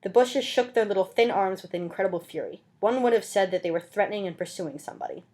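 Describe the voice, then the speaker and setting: A woman's voice: mid-range voice, animated, fast talker, darkish tone. A woman reading a classic novel aloud as narrator, in an earnest, theatrical and emphatic manner. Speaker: amateur audiobook reader. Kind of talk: dramatic literary reading aloud.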